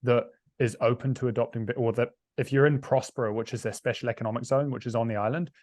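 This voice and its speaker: A man raised in New Zealand, a podcast guest telling quick, chatty stories. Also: mid-range voice, fairly even intonation, darkish tone, no echo. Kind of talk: casual interview chat.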